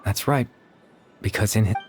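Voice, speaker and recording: An actor playing a gentle, sorrowful young man, a lingering spirit, explaining earnestly in measured video game dialogue. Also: lowish voice, slight background noise, natural voice. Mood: calm, explaining.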